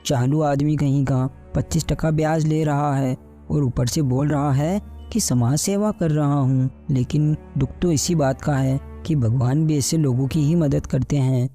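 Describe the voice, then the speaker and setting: A man playing a muffled-dark, worried poor village farmer. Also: a little high, measured pace, faint music behind, lightly acted. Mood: bitter. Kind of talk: Hindi animated bedtime story dialogue.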